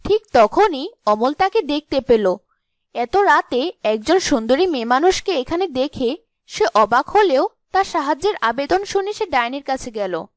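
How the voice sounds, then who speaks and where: Female storyteller, high pitch, dry sound, scripted fairy tale narration. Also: big pitch swings, measured pace, dry recording.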